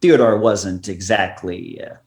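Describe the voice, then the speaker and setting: A man's voice: sits low, measured pace, a little mellow. A man playing a menacing, sardonic racetrack businessman in a comic radio play, curt and tough.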